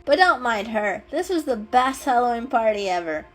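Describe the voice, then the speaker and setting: A woman's voice: fairly high pitch, big pitch swings, normal speed, a bit bright. A woman voicing a good-humoured, easygoing prankster house cat, upbeat and hearty, in a children's story reading. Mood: cheerful.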